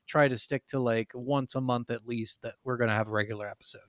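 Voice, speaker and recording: Male podcast co-host, mid-pitched, blunt and foul-mouthed, casual banter, very dry recording. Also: steady tone, fast, a bit bright, no room sound. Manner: profane, blunt, slangy. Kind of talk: casual banter between friends. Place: remote recording from separate locations.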